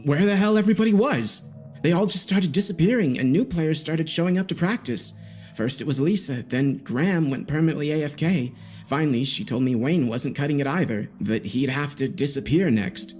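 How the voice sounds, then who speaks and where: A man's voice: on the high side, a little fast, a little mellow, voice pushed up, close to an everyday voice. A man voicing a nervous, defensive young male gamer under interrogation in a dramatic audio story. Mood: defensive, agitated. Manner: agitated, defensive, self-justifying. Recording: background music behind the voice.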